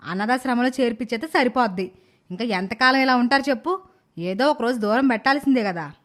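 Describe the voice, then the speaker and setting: Cartoon moral tale: scheming old grandmother, fairly high voice, blunt and nagging acted by a woman. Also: a little fast, fairly bright tone, close to an everyday voice. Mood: scornful. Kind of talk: animated moral story dialogue.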